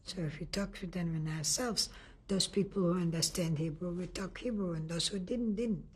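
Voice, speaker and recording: An older woman from Lithuania giving an oral history testimony in a halting way, often restarting and searching for words. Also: lowish voice, breathy edge. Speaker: interviewee.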